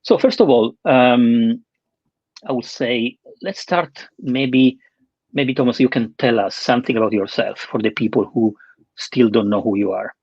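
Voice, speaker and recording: Male webinar host and interviewer, husky voice, muffled close-sounding recording. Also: mid-range voice, unhurried, a little mellow, no room sound. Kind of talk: hosting an online interview.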